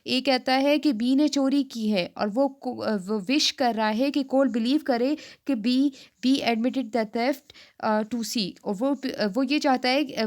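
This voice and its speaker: Slow, high-voiced Pakistani woman lecturer. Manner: explanatory, repetitive, halting. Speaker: law lecturer. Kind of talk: exam lecture, reading statutes aloud and explaining them.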